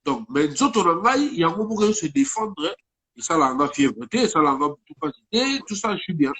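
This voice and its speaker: A man's voice: fairly high pitch, expressive, fairly quick, a bit bright, breathy edge. A man speaking French with a Congolese accent, talking casually as an interview guest while he has a head cold, rambling and mixing in Lingala words. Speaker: guest musician.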